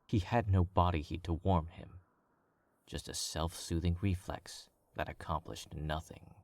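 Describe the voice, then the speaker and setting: A man narrating a story in a calm, measured read. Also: sits low, no echo.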